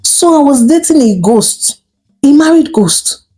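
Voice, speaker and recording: A woman's voice: high voice, lively intonation, measured pace, mellow, faint music behind, voice pushed up. A woman voicing a shocked, outraged young Nigerian girlfriend in a melodramatic, wailing storytelling performance.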